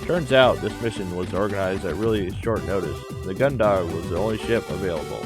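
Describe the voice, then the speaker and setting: A man's voice: low voice, brisk. A man hosting a gaming video, narrating a visual novel in a loose, offhand, casual way. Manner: loose, offhand paraphrasing of on-screen story. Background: video game music.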